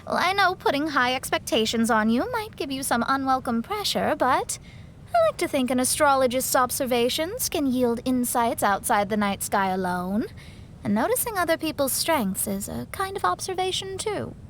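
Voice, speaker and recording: Young astrologer for an anime game: high, animated, articulate, proud and guarded. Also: female voice, normal speed, slight background noise. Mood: sincere. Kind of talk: anime-style video game voice acting.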